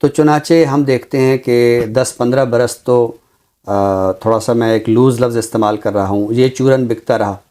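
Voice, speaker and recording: A Pakistani man speaking formally and deliberately as a literary commentator, with emphatic, repeated phrasing. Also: mid-range voice, warm tone. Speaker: literary commentator on a talk show. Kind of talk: measured, formal literary discussion and poetry recital.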